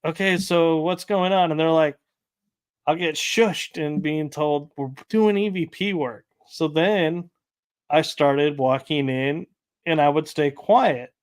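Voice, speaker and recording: A man's voice: on the high side, slow, clear, resonant tone. A man hosting a casual interview, telling rambling anecdotes with light laughs. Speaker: podcast host.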